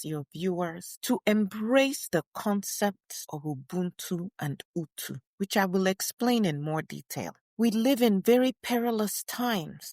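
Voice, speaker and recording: A woman playing a dignified, proud elderly woman writer and scholar, delivering a deliberate first-person monologue with emphatic repetition. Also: on the low side, no echo, clean studio sound. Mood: earnest, gracious. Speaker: co-host in character. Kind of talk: first-person dramatic monologue, read aloud.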